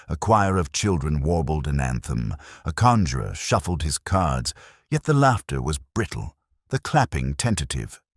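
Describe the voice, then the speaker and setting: A man reading a scripted mystery story in a measured, wry, literary way. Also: very deep voice, breathy edge, no room sound, studio quality. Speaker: narrator.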